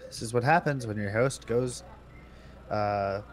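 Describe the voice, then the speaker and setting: A man's voice: on the low side, a little slow. A man chatting casually as a co-player during a streamed video game. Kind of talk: casual chat while gaming. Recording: faintly noisy online game chat.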